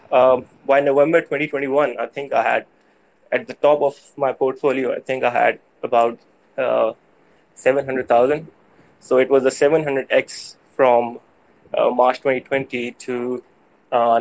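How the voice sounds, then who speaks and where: A man with a Pakistani accent, speaking English as a second language, tells his story haltingly as a guest on a live audio show. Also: medium pitch, level tone, creaky, darkish tone, rough recording. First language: not English. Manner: halting, hesitant, frequent hedging. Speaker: guest caller.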